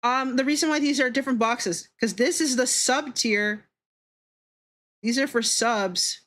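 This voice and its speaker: A woman with a fairly high voice streams casually, talking straight to her viewers. She is playful and teasing in how she speaks, with a giggly edge and plenty of swearing. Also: unhurried, a bit bright. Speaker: streamer, VTuber. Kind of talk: casual livestream chat with viewers while drawing.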